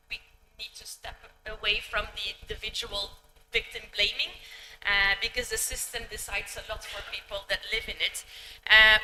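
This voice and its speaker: Woman, conference lecture, measured, filler ums, echoey hall, humming background. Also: on the high side, pushed and bright, slight background noise. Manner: measured, with frequent ums and uhs. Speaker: conference speaker. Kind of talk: academic lecture.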